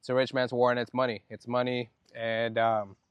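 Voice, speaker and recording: A man from Oceanside, California, talking as a podcast guest in a reflective, halting, soft-spoken way, with frequent restarts. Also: mid-range voice, flat delivery, smooth, dry recording, highs cut off. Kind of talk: casual podcast conversation.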